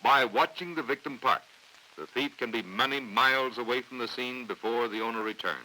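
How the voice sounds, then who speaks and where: An American man reading scripted training film narration in a formal, authoritative, measured way on a vintage 1950s soundtrack. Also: medium pitch, pushed and bright, rough recording. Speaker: documentary narrator.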